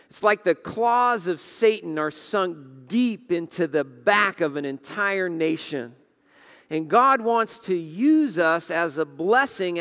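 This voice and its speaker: High-voiced pastor preaching in a reverberant hall. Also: male voice, fairly bright tone. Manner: impassioned, repeats words as he preaches. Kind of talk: sermon. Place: church sanctuary.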